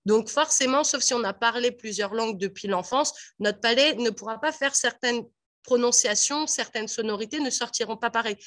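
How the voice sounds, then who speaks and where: A woman from Paris speaks with a clear Parisian French accent in a fairly high voice. She talks in a lively, chatty way and often fills pauses with hesitations. She comes across as a guest joining an informal radio panel. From France (Paris). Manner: lively, chatty, with frequent fillers. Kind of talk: casual radio discussion.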